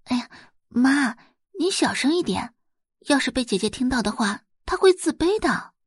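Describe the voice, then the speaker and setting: A woman's voice: high voice, very animated, normal speed, slightly rough, dry recording, studio quality, pitched above the performer's own voice, exaggerated voice. A woman voicing a poised young heiress and doctor who talks sweetly and confidently in an audio drama.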